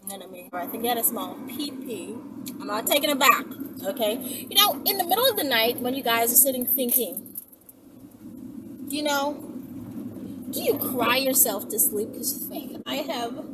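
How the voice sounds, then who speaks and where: A woman's voice: fairly high pitch, lively intonation, moderate pace. A woman delivering a casual comedic monologue to her phone, teasing and mock-sympathetic, leaning hard on words for emphasis. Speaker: vlogger addressing viewers. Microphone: phone held or propped. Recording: distant phone mic, loud background noise.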